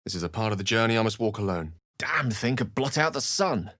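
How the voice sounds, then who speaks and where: An urbane British wizard from a fantasy video game, pitched low and recorded very dry and close. He is eloquent and courteous, with a wry, bookish manner and theatrical turns of phrase. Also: expressive, brisk, fairly bright tone, no echo. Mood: earnest, reflective. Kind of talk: video game character voice acting.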